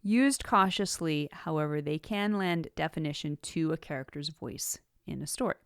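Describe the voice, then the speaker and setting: A woman hosting an instructional podcast, reading a prepared script in an articulate, measured way with emphasis on key words. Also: sits low, clear, resonant tone, no echo, studio quality. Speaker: podcast host and writing teacher.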